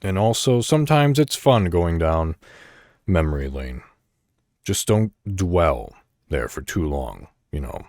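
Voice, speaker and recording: Deep-voiced man with swooping, expressive intonation. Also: takes it slowly, no echo, clean studio sound. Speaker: podcast host. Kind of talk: reflective solo monologue addressed to listeners.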